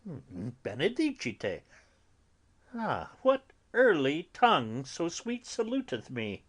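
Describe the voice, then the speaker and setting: A man performs an elderly friar in a classical verse drama. He speaks extremely slowly, savouring phrases with long pauses, then swelling with feeling. His delivery swings widely in pitch, fatherly, philosophical and gently chiding. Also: wildly swooping pitch, heavily acted. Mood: fond, chiding. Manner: measured, deliberate, with dramatic pauses and sudden swells.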